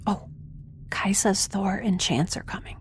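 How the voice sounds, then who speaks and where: An actress playing a quirky, chatty young fugitive who keeps a recorded diary in a science fiction audio drama, informal and rambling. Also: female voice, mid-range voice, a little slow, in a whisper, lightly acted. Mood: hushed, chatty.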